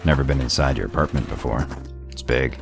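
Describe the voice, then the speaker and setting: Radio play role: man voicing a gruff wolfish sheriff, extremely deep, heavy gravel, terse and dryly teasing. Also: a little fast, over music. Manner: laconic, dry teasing. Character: gruff, sardonic male wolf lawman, loyal underneath. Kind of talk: audio drama dialogue.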